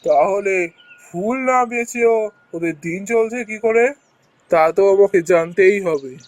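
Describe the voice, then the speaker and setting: A man's voice: high-pitched, unhurried. A man voicing a suspicious, scheming middle-aged village uncle in a cartoon tale. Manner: suspicious, plotting.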